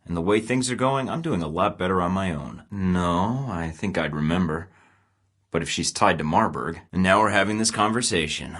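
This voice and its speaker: A man's voice: low-pitched, fairly bright tone. An actor playing a wisecracking male secret agent in a video game who stays cool under pressure and trades dry, sarcastic quips. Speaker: protagonist of a spy game.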